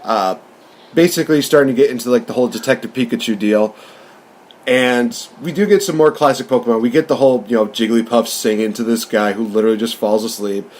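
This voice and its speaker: A man's voice: mid-range voice, quick pace, a little mellow. Man casually recapping a movie. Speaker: podcast host and film reviewer. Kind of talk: casual movie review discussion.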